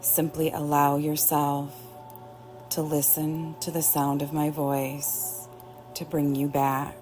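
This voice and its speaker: A woman teaching yoga nidra, guiding relaxation in a gentle, soothing way with long pauses. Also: low-pitched, little pitch movement. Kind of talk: guided relaxation meditation. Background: soft background music.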